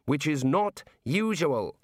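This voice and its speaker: A gloomy young male wizard, weary and exasperated, who sighs his way through awkward explanations, as a man voices him in a storybook reading. The delivery is lively in pitch and sounds close and dry. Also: slow pace, dry recording, heavily acted.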